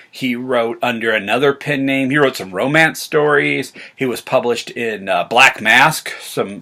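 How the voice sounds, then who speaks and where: Bright-voiced man, rambling enthusiast. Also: mid-range voice. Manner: rambling, hesitant, self-correcting enthusiast. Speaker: science fiction author and vlog host. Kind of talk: casual retro sci-fi talk to viewers.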